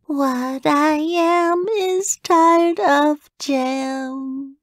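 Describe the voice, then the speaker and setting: A woman's voice: sits high, drawn-out words, no echo, studio quality, pitched above the performer's own voice. A woman voicing a young badger girl in a storybook, plaintive and stubborn, childlike and given to little songs. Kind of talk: storybook character voice.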